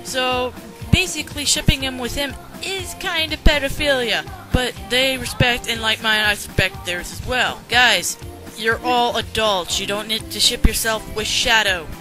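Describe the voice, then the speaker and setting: A woman with a somewhat high voice tears into the material with blunt sarcasm and casual swearing. She speaks unscripted, as comedic commentary. Music plays clearly behind her. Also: measured pace. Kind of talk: unscripted commentary on a read-aloud journal.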